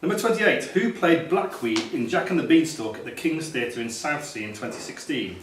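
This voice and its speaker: A man's voice: medium pitch, very animated, normal speed. A man reading out quiz questions as quiz master at a live quiz. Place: echoey hotel function room, live quiz. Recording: distant, very echoey room with background noise.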